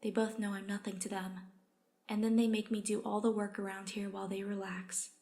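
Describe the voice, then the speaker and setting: A woman's voice: medium pitch, flat delivery, brisk, mellow, close to an everyday voice. A woman reading aloud a first-person story of a meek, put-upon character. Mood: subdued, resigned.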